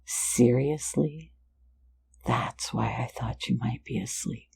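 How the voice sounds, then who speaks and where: A woman's voice: a bass voice, fairly even intonation, slow, creaky, darkish tone, slightly rough, full character voice. A woman voicing a sly, playful phantom thief in an ASMR roleplay, mostly whispering close to the mic in a teasing, soothing way. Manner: teasing, soothing, lulling listener to sleep.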